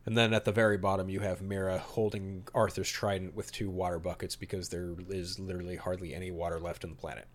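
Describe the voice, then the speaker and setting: A man's voice: low-pitched, little pitch movement, fast talker, few pauses, slight background noise. A man hosting a podcast, moving between reading out summaries and emphatic, opinionated commentary with some mild swearing. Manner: opinionated, emphatic, mildly profane. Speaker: podcast host. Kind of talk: podcast talk mixing read-out storyboard summary and casual commentary.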